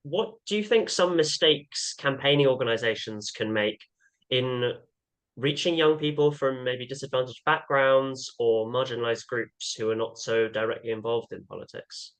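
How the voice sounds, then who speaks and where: A young man chairing an online panel discussion, speaking haltingly with fillers. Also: mid-range voice, fairly bright tone, clear, resonant tone, poor audio. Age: young adult. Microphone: video call.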